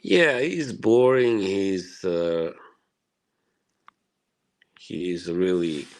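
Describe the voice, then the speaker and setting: A man from Bosnia talks to his stream chat in a loose, rambling way. He swears freely and fills his talk with "you know" and little laughs. His voice sits very low and he speaks at an unhurried, slow pace. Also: big pitch swings.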